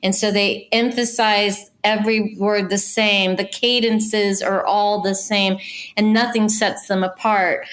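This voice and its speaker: A woman's voice: medium pitch, a bit bright. Female casting director, mid-range voice, explanatory, conversational interview delivery with practical advice.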